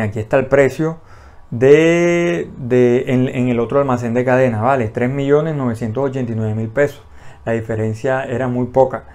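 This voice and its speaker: A young man talks straight to the camera in a small indoor room. He keeps it casual, leaning on "eh" fillers and quick self-corrections. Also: medium pitch, a little mellow. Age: young adult. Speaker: vlogger. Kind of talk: casual talking-head explainer. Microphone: front camera at chest-up framing.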